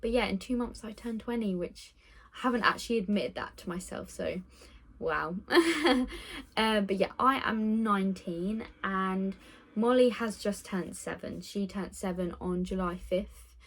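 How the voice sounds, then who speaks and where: A woman's voice: medium pitch, unhurried. A nineteen-year-old woman chatting casually in a get-to-know-me vlog, rambling with lots of ums and little laughs. She records on her phone indoors with the window open. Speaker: vlogger.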